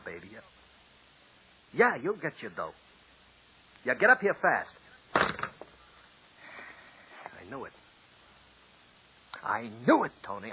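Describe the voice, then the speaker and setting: A man's voice: medium pitch, close to an everyday voice. An actor playing a nervous, tipsy small-time male accomplice who stammers and wheedles, in 1940s radio acting. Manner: stammering, wheedling then snarling. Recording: dull lo-fi old radio recording.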